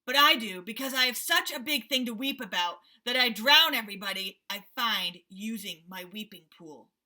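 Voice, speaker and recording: A woman voicing a grumpy, self-pitying old male rhinoceros, loud and complaining, in a storybook reading. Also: high-pitched, lively intonation, moderate pace, pitched above the performer's own voice, heavily acted. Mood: angry.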